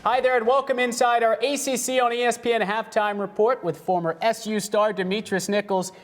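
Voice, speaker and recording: A young American man doing sports broadcast commentary in clipped, energetic phrases, as an announcer and host. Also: sits high, fairly quick, dry recording, faint music behind. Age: young adult.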